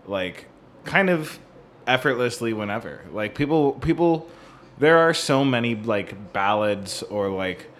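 A man co-hosting a music podcast, talking casually and analytically in a halting, rambling way with some swearing. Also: medium pitch, expressive, a bit bright.

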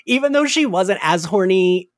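A man hosts a casual podcast in an animated, up-and-down voice. His talk is informal and chatty, peppered with "like", and the sound is dry and close. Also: sits high, normal speed, no room sound. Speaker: podcast host. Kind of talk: casual chat about an audio drama.

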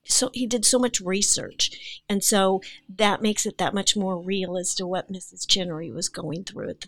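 A woman's voice: mid-range voice, a bit bright, no echo. An older woman speaking as an actress guest on a podcast, talking in an earnest, heartfelt way. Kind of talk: casual podcast chat.

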